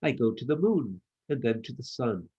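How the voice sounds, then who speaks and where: A man voicing an eager, curious little boy gnome in a storybook, with an excited, wondering manner. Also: mid-range voice, normal speed, slightly rough, lo-fi sound. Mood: proud, determined.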